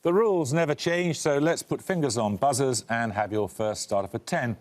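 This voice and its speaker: A man hosting a television quiz show, reading questions and introductions in a crisp, dry, authoritative way with wry asides. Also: mid-range voice, moderate pace, darkish tone, a faint crowd, slight background noise. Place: television studio.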